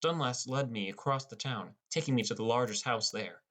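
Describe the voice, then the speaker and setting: A man's voice: mid-range voice, brisk, a bit bright. A man narrating a scripted first-person story as an audiobook narrator.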